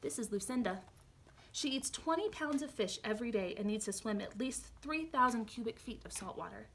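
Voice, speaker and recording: A woman reading a storybook aloud, voicing an eager-to-please male animal shelter keeper in a slightly lowered voice. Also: lively intonation, brisk, fairly bright tone, slight background noise. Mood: hopeful.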